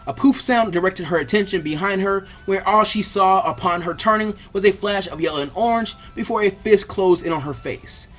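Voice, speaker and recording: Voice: mid-range voice, normal speed, hardly any pauses. A narrator reading an action story aloud as a dramatic, scripted audiobook. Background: background music.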